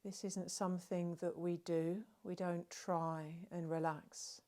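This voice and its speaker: Slow, even-toned woman guiding relaxation. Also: on the low side. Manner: gentle, soothing, unhurried with long pauses. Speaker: relaxation guide. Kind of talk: guided meditation narration.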